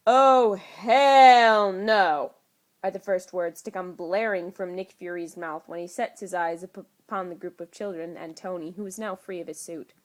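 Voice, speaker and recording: A woman's voice: lowish voice, steady tone, normal speed, few pauses. Woman narrating a story. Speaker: audiobook reader. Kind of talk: scripted fan fiction narration.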